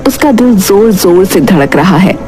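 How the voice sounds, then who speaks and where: Unhurried woman narrator over music. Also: mid-range voice, lively intonation. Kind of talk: scripted dramatic story narration. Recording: prominent background music.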